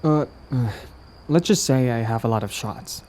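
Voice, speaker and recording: Man acting as a mellow, lovestruck, coaxing villain. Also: medium pitch, normal speed, a little hiss or hum, lightly acted. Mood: hopeful, flirtatious.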